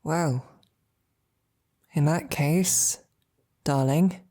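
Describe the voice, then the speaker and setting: A woman playing a devoted, tender and teasing female knight in intimate ASMR roleplay, speaking softly and haltingly, with affection, in a breathy, often whispered voice. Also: deep voice, full character voice. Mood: tender, reassuring.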